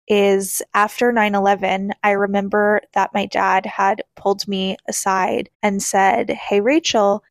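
A woman's voice: medium pitch, dry recording. A woman in her twenties from New York with an American accent, telling a personal story as a podcast host in a candid, informal way. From New York, United States. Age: 20s.

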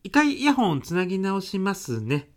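A woman's voice: mid-range voice, sing-song, normal speed, lightly acted. A woman playing a polite, deferential young salaryman son-in-law in a comic radio drama, with a hesitant businesslike manner. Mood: businesslike, courteous.

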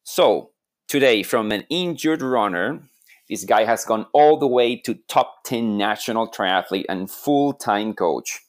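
Low-voiced male co-host, wry, jokey intros. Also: expressive, measured pace. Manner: wry, joking guest introduction. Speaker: podcast co-host. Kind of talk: podcast interview.

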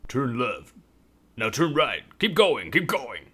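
A man's voice: medium pitch, sing-song, slow pace, sharp tone, husky, voice pushed up, exaggerated voice. A man voicing a curt, bossy masked male criminal who gives the driving directions. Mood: commanding. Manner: clipped commands.

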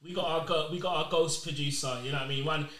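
High-voiced man in an echoey room. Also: fairly even intonation, fast talker, a bit bright. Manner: laughing, jokey banter. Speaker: podcast co-host. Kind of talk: casual chat.

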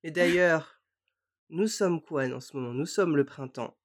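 A woman co-hosting a casual podcast, friendly and quick to laugh, her voice stuffy from a cold. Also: low-pitched. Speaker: podcast co-host.

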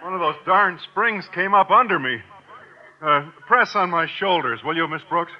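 Awkward earnest teacher, American accent, high boyish voice, radio sitcom, dull vintage recording. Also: male voice, moderate pace, a little hiss or hum. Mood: sheepish. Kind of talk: 1940s-50s radio sitcom acting.

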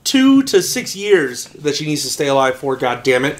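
A man guesting on a podcast from home, naturally loud, rambling and swearing casually with many ums. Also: fairly high pitch, sing-song, moderate pace. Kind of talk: casual chat. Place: home apartment. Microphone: shared desk mic.

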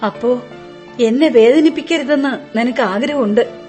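Actress as a high-pitched, reproachful mother-in-law. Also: normal speed, a little mellow, soft background music, lightly acted. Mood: stern, questioning. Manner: earnest, reproachful. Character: worried, disapproving middle-aged mother-in-law, protective of her son. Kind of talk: Malayalam radio play acting.

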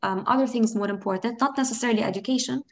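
A woman with a Lebanese accent, whose first language is Arabic, answers interview questions in a thoughtful, searching way. Her voice sits somewhat high, and she often circles back to rephrase a point before settling on it. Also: very fast, creaky. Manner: reflective, thinks aloud, frequent "you know" and restarts. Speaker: interview guest. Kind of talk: conversational interview.

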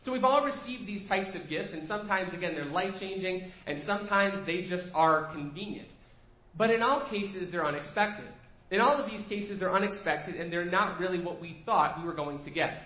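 A man's voice: high-pitched, moderate pace. A man preaching an evening sermon as pastor, slightly nasal from a cold, talking in a conversational, storytelling way in a church. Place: church sanctuary. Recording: echoey church room, dull lo-fi sound.

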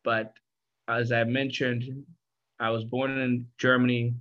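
A man from Liberia talking as an interview guest, telling his story in a thoughtful, deliberate way. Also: medium pitch, level tone, muffled recording. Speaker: guest, founder of a financial firm.

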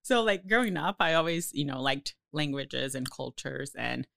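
A woman's voice: rumbling low, normal speed, vocal fry, dry recording, studio quality. A woman from Brazil with a Brazilian accent, chatting as a podcast guest, laughing often and acting out quotes. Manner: laughs often, animated quoting. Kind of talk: casual chat.

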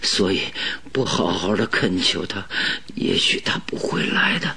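A gravely ill detective in a radio play, gasping out stubborn instructions in a very hoarse, half-whispered voice. The voice is low and creaky, with slow, laboured phrases that sound weak and pleading. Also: male voice, lively intonation, sharp tone, heavily acted. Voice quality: breathy, raspy, weak whisper. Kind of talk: radio drama acting.

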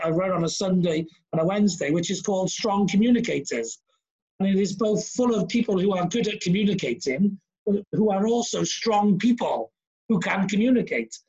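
A man's voice: high voice, a little mellow, slightly rough. Welsh man talking over dull call audio. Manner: chatty, many fillers and restarts. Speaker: podcast host. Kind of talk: casual video-call chat. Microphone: video call.

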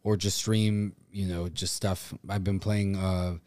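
A man's voice: low-pitched, flat delivery, takes it slowly, a little mellow, no room sound. A man co-hosting a podcast in casual, bantering chat, with a self-deprecating manner. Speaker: podcast co-host.

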